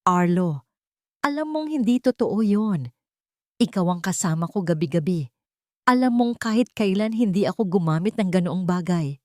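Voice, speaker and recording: A woman's voice: on the low side, expressive, moderate pace, a little mellow, no room sound, clean studio sound, natural voice. A woman voicing a proud, disgraced young pilot. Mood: anguished. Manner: pleading, anguished.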